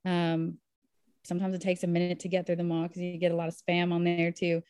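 A woman doctor guesting on a podcast, with a somewhat low voice. She sounds upbeat and enthusiastic as she talks. The recording is dry, with no room sound. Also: monotone, brisk, warm tone, smooth, no room sound. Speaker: physician guest. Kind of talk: casual interview talk. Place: home in Nashville.

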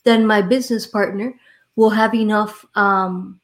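A friendly woman with a Miami accent, talking at an ordinary middle pitch. She explains insurance as an interview guest, relaxed and conversational. She often checks in with "right?" as she goes. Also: unhurried. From Miami, Florida. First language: Spanish. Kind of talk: podcast interview.

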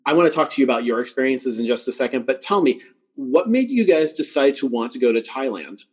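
A man's voice: medium pitch, big pitch swings, fast, breathy edge. A man hosting a podcast in a conversational style, with a welcoming and appreciative manner. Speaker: podcast host.

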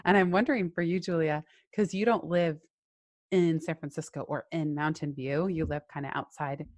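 A woman's voice: low voice, fairly even intonation, normal speed, a little mellow, no echo. A woman who is a self-employed entrepreneur, talking candidly and with animation as a guest in a casual interview.

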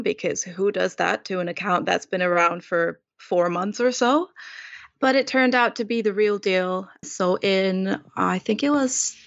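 A woman's voice: medium pitch, gravelly, dry recording. A woman from Romania, a food blogger, telling her story casually as a podcast guest over slightly dull audio.

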